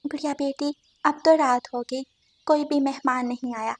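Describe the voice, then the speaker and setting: Woman as a high-voiced mother bird. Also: fairly even intonation, normal speed, lightly acted. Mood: disappointed. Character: mother songbird, homely, doubtful of crows. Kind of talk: Hindi cartoon story dialogue.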